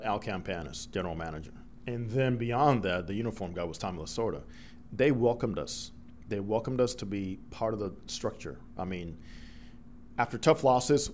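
Dominican-born guy, spanish first language, lower voice, telling stories all animated, faint hiss behind. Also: normal speed. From Dominican Republic. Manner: animated storyteller, acts out quoted voices. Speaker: broadcaster, guest. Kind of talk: storytelling interview.

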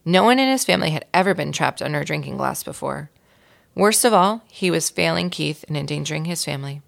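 Creaky, low-pitched woman reading a story. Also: expressive, fairly quick. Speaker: volunteer reader of a children's book. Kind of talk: expressive read-aloud storytelling.

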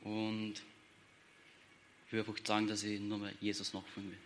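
Quiet low man, flat voice. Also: moderate pace, slight background noise. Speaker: baptism candidate. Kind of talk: personal testimony.

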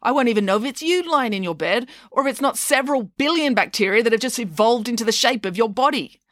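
A woman's voice: on the high side, lively intonation, quick pace, fairly bright tone, dry recording, studio quality, pitched above the performer's own voice. A woman voicing a wry, insistent mother who explains things didactically in a children's story.

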